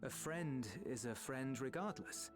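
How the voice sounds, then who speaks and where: A man's voice: medium pitch, expressive, unhurried, natural voice. A man playing an earnest young companion in a fantasy video game, reassuring and sincere in his delivery. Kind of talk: video game dramatic acting. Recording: dry studio voice over game music. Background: game music.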